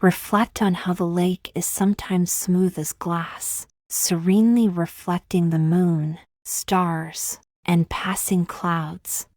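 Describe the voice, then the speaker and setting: Female meditation guide: lowish voice, very unhurried, calm delivery, close dry sound. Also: dry recording. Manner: calm, unhurried, gently measured with long pauses. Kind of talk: guided meditation, scripted soothing narration.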